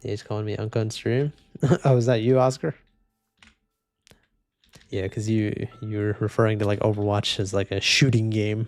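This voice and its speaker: Chill young guy reading chat, kinda low voice, a bit stuffy-nosed, flat and deadpan. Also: moderate pace, pauses often, no room sound. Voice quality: slightly congested. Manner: flat, low-energy, self-described boring voice. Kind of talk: casual gaming livestream chat. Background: faint game music and keyboard typing.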